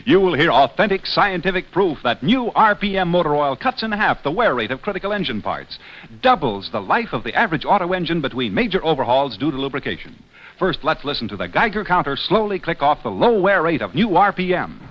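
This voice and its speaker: A man delivering a formal scripted commercial pitch in the style of 1950s radio. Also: very animated, a little fast. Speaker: commercial announcer. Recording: dull old radio, faint noise.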